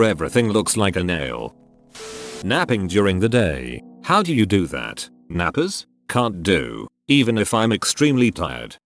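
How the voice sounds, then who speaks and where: Low-voiced man reading posts aloud. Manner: reads short comments one after another in an even reading tone. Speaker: narrator reading online forum posts. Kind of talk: scripted narration. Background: background music.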